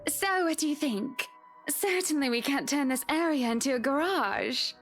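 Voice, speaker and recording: A genteel, well-to-do lady, composed and polite in her refined phrasing. Her voice sits high, with soft music behind it. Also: expressive, normal speed. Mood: polite, poised. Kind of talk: video game voice acting.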